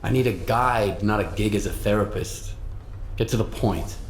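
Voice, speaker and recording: Man acting a low, curt stalker hero. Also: normal speed, in a large hall, a little hiss or hum, close to an everyday voice. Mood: impatient. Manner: terse, blunt. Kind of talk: video game cutscene acting.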